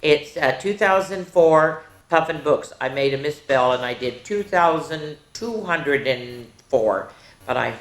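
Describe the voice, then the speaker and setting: Female children's story host: slightly low, unhurried, expressive, chatty read-aloud, roomy recording with faint noise. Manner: expressive, addressing young children directly. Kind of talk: picture-book read-aloud with casual asides.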